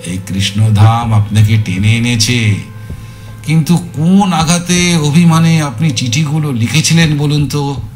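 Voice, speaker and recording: A man performs a talkative male sleuth from an audio drama, medium-pitched and slow to unfold his points. He is emphatic, theatrical and probing, teasing yet earnest, with music running faintly underneath. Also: natural voice. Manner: emphatic, repeats words, theatrical, coaxing. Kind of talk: dramatised audio story acting.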